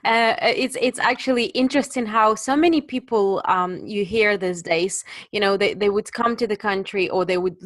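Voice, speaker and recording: A woman's voice: medium pitch, normal speed, few pauses, no echo, studio quality. A woman hosting a conversational business podcast, putting questions to a guest. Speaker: podcast host and interviewer.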